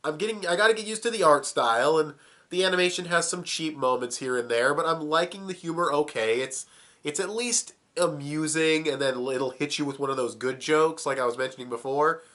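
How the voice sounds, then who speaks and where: A man's voice: on the high side, brisk, a bit bright. A young man with a cold, sounding plugged up, casually reviewing a cartoon, swearing and chuckling as he talks. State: sick, plugged up. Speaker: video reviewer.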